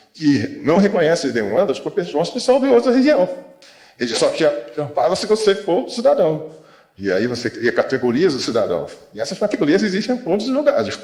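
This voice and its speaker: A man's voice: fairly high pitch, darkish tone. Brazilian man lecturing in an echoey room. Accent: Brazilian Portuguese. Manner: rambling, restarts and asides, rhetorical questions. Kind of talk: unscripted explanatory lecture.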